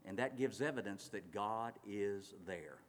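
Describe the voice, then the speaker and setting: A man preaching a sermon, swinging between loud urgent passages and quiet slow ones, drawing out words for emphasis. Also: lowish voice, slight background noise. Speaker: pastor. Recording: long reverb of a large hall.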